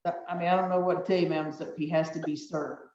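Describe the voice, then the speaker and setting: A woman judge with a deep voice presiding over virtual hearings, giving firm, brisk, repeated procedural instructions over a video call. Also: fairly even intonation, a little mellow, a little hiss or hum. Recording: echoey, distant, dull video-call audio.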